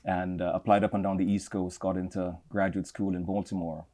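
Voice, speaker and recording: Middle-aged Trinidadian man, low voice. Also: little pitch movement, warm tone. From Trinidad and Tobago. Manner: measured, reflective, with frequent repeated words. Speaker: campus leader, guest. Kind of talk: interview conversation.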